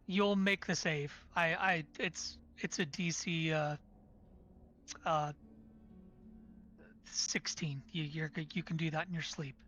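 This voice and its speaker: A hoarse, tired-voiced player at a tabletop game. The speech is slow and halting, broken up by fillers. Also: medium pitch, steady tone, a bit bright.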